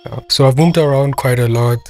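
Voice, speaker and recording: A man's voice: mid-range voice, a little fast, soft background music. A South African man casually recounting where he grew up, in an interview.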